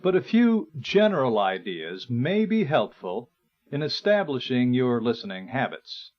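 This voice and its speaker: Slow, expressive male instructional narrator. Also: medium pitch. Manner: measured, explanatory. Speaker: narrator and presenter. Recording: dull, lo-fi 1980s recording.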